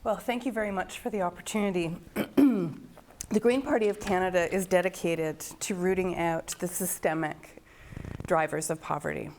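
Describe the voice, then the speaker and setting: A woman running as a candidate, giving a brisk, formal debate opening statement. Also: on the low side, a little mellow, a little hiss or hum. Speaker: election candidate.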